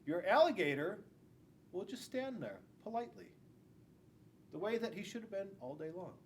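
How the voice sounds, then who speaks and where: A man's voice: sits high, expressive, moderate pace, a little hiss or hum. A middle-aged man, a school police officer, reading a picture book aloud to young children, stressing key words and adding asides. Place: indoors in a school classroom.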